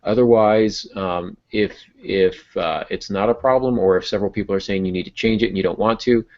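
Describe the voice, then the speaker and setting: A man's voice: on the low side, steady tone, fairly quick. A man hosting a podcast, giving conversational advice about writing. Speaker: podcast host and writer. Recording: dull lo-fi audio.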